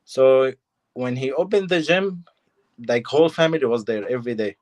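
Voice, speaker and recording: A man's voice: mid-range voice, darkish tone. Young guy, fighter being interviewed, choppy simple english, short bits at a time. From Syria. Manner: halting short phrases, simple non-native English. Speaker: fighter, guest. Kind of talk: casual interview answers.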